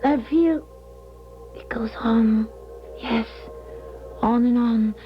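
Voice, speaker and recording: An actress playing a grand, weary older clairvoyant in a radio drama, speaking in a measured, solemn, theatrical way. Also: female voice, on the high side. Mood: entranced. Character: grand, weary clairvoyant woman recalling her gift. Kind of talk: 1970s radio drama acting and narration. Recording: muffled 1970s radio broadcast, music behind.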